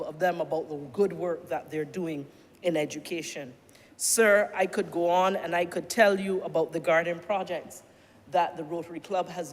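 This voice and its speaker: A middle-aged government minister with a Barbadian accent gives a formal, deliberate parliamentary speech, often addressing the chair and building points through repeated questions. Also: medium pitch. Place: parliament chamber. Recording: long-reverb chamber with faint noise.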